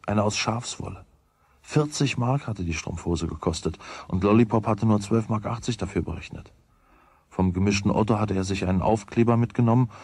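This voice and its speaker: A man with a husky voice reading scripted storybook narration in a radio play. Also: fairly low pitch, a little mellow, dry recording.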